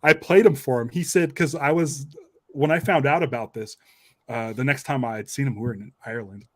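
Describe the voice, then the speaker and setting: A male podcast co-host in casual conversation. He has a medium-pitched voice and tells anecdotes with enthusiasm. The sound is dry and close, with very little room. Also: a little fast, a bit bright, no echo.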